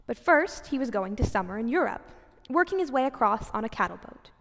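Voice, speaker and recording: Radio drama narrator: actress as kindly female storyteller, slightly high voice, drenched in long reverb. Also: very animated, fairly quick, vocal fry, fairly bright tone, heavily acted. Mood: patient, explanatory. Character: kindly storyteller recounting a man's life.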